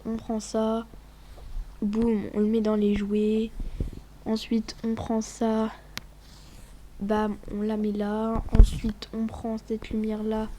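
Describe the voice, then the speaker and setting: Woman w a cold rambling over her game, kinda high voice, sniffles, keeps going quiet and whispery. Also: slight background noise. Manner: chatty, rambling, often drops to a whisper. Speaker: gaming vlogger. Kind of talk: casual running commentary over gameplay.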